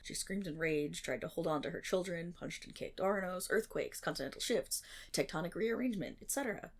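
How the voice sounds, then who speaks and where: A woman playing a nerdy, teasing best friend in an ASMR roleplay, rambling through myth with dramatic pauses and wry, animated asides. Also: lowish voice. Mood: grave storytelling.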